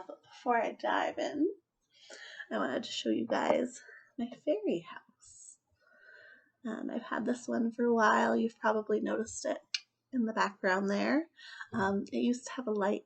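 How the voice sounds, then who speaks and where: A woman hosting a children's story time, chatting casually and reading aloud in an expressive, emphatic way. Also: fairly high pitch, vocal fry, breathy edge. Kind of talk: casual chat and animated storybook read-aloud.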